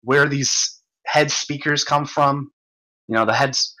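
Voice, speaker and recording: A man hosting a livestream, ranting in a profane, stumbling, repetitive way while reading comments, his voice going hoarse. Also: mid-range voice, fairly even intonation, slow, sharp tone, poor audio. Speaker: livestream host. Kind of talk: rambling livestream rant.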